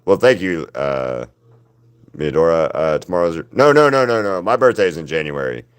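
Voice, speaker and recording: Guy with a super deep smooth voice, rambling to his chat while painting. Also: very animated, normal speed. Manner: rambling, answers viewers' chat by name. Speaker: artist hosting a livestream.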